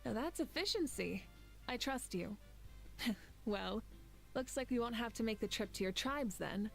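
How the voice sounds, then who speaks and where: A woman voicing a calm, confident leader who speaks in an assured, reassuring way in a game cutscene. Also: fairly high pitch, unhurried, creaky, soft background music, rough recording. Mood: commanding.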